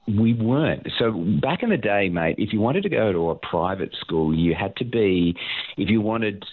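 Low-voiced Australian man, matey and self-deprecating. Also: animated, moderate pace, no echo, narrow, tinny audio. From Sydney, Australia. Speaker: pastor, interview guest. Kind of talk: casual anecdotal chat.

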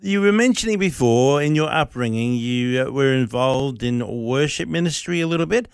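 A man's voice: mid-range voice, animated, moderate pace, creaky, smooth, no echo. Man, radio host, chatty, friendly, dry close sound. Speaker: radio show producer and stand-in host. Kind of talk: interview hosting.

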